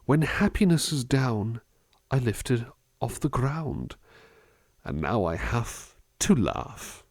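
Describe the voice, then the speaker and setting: A man playing a gentle, reflective butler in a soft-spoken ASMR roleplay, musing slowly and thoughtfully, at times dropping into a near-whisper. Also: mid-range voice, big pitch swings, dry recording, studio quality, exaggerated voice. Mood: gentle reciting. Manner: hesitant, thoughtful, musing, unhurried. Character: gentle, reflective male butler reading poetry to his charge.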